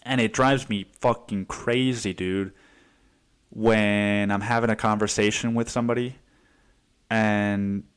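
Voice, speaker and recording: A young man from Cancun rants through a solo podcast episode. He swears freely and drops "dude" constantly. He jumps up into a higher voice whenever he mimics other people. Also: steady tone, unhurried, clean tone. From Cancun, Mexico. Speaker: podcast host. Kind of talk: casual solo podcast rant.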